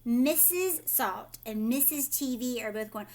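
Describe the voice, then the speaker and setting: A woman with a high, very animated voice reads a children's story aloud like a teacher. She narrates expressively and puts simple explanations and questions to her young listeners. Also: unhurried.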